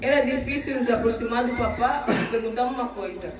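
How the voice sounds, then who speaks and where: Um homem que interpreta ao vivo uma pregação para o português, repetindo cada frase logo após o pregador. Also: male voice, extremely high pitch, distant mic, crowd noise. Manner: quick, repeats each phrase after the preacher. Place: church gathering. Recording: echoey hall recorded from afar. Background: congregation.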